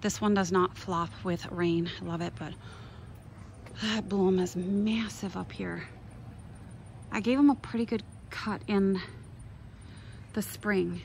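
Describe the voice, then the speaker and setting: A woman's voice: medium pitch, fairly even intonation, takes it slowly, long pauses. A woman chatting casually and off the cuff as she gives a garden tour, wandering between exclamatory asides. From Minnesota, United States. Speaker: gardening vlogger. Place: outdoors in a garden. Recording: noisy outdoor handheld recording. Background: clear outdoor ambient noise.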